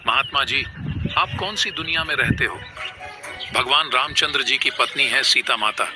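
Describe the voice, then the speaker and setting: A man's voice: medium pitch, normal speed, over music, lightly acted. A man voicing an earnest, devoted monkey-god warrior in a cartoon, speaking respectfully with pleading and exclamations. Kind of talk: animated devotional story dialogue.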